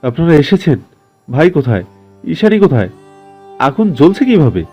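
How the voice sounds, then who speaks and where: A man's voice: a little high, very animated, moderate pace, mellow, husky, muffled recording, soft background music. A man playing a loyal, anxious comrade in an audio story, speaking earnestly and urgently. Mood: frantic. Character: loyal, anxious younger male comrade in a rescue. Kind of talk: dramatized audio story acting.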